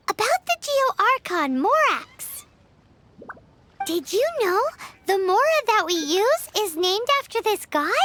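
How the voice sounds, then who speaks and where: A woman's voice: pushed and bright, a little hiss or hum, exaggerated voice. A woman voicing a small, bubbly fairy companion girl in a video game, talking eagerly. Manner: bubbly, eager, high-pitched.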